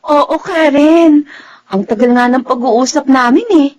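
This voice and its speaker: Radio drama role: troubled young Filipina college student, high voice, earnest and plaintive. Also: female voice, a little slow. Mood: reassuring. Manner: emotive, plaintive.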